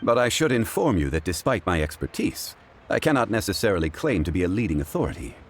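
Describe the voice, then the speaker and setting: Very low voiced calm scholar gentleman, formal n measured but lots of melody, game dialogue. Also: background noise. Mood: calm, amused. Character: composed, modest, erudite gentleman scholar. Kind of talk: video game dialogue acting.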